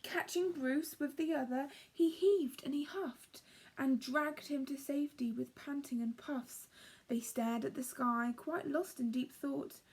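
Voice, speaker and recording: Female storyteller for young children: very high voice, unhurried, breathy, dramatic rhyming narration. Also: darkish tone, rough recording. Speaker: storyteller reading a picture book to young children.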